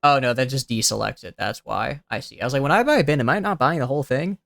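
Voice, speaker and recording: A man's voice: mid-range voice, expressive, fast talker, creaky, fairly bright tone, no room sound. A young man streaming a game, chatting casually and rambling as he thinks aloud, with a youthful-sounding voice. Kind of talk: casual gameplay commentary.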